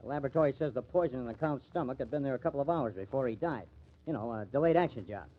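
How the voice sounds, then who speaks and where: An actor playing a sardonic, skeptical publicity agent turned sleuth in a 1950s radio mystery, talking in slang. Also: male voice, mid-range voice, very fast, close to an everyday voice. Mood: puzzled, skeptical. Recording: tinny, narrow old radio recording.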